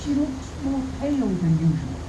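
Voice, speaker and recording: A woman's voice: a little high, big pitch swings, normal speed. A woman playing a sober young husband, reading his journal in clipped, matter-of-fact radio drama narration. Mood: grim, weary. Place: community theatre live performance. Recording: echoey room with background noise.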